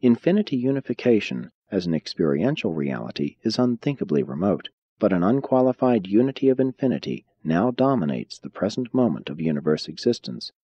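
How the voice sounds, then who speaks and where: A man narrates a scripted spiritual audiobook with a low-leaning, very mellow voice. His intonation moves widely, yet the phrasing stays solemn and deliberate. The recording is slightly dull. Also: slightly rough. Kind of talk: scripted audiobook narration of philosophical religious text.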